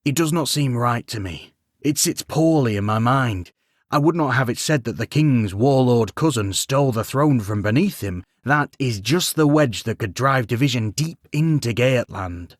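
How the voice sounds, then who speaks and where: A man's voice: mid-range voice, expressive, moderate pace, no echo, studio quality, natural voice. A man voicing a humble warrior lord burdened by guilt, who speaks in an earnest and restrained way. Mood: resolute.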